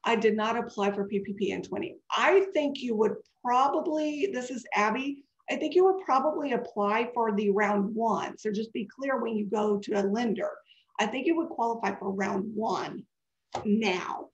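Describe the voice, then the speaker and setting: A woman's voice: on the high side, normal speed. A woman in her forties giving a live webinar over a video call. She talks in a chatty, digressive way, and her voice sounds congested and scratchy. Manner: chatty and digressive, answering questions. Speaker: webinar presenter. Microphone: webcam video call. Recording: dull echoey video-call audio.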